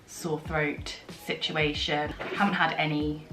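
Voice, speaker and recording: Slightly husky woman vlogging. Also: deep voice, flat delivery, slow. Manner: rambling, filler-heavy storytelling. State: slightly husky from a sore throat. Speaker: beauty vlogger. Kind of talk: chatty get-ready-with-me vlog. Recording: echoey room with faint music.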